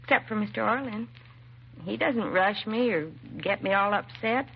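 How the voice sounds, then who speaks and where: An American actress playing a timid, self-doubting young secretary in a 1950s radio drama, hesitant and apologetic. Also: female voice, medium pitch, moderate pace, vocal fry, natural voice. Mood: self-deprecating, nervous. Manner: hesitant, nervous, apologetic, trailing and restarting. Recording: muffled vintage radio recording, faint hiss.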